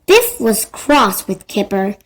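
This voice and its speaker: A woman narrating a children's storybook in a simple, deliberate read-aloud style. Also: mid-range voice, big pitch swings.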